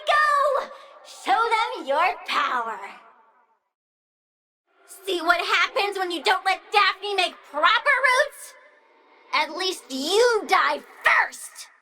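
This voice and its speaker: A woman's voice: very high-pitched, very animated, drawn-out words, pauses often, sharp tone, heavily acted. An actress playing a childlike plant-girl villain in a video game, girlish and playful, petulant, gleefully menacing and doting on her plants. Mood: excited, petulant. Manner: playful, petulant, swings from cooing to threats. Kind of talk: video game character voice lines.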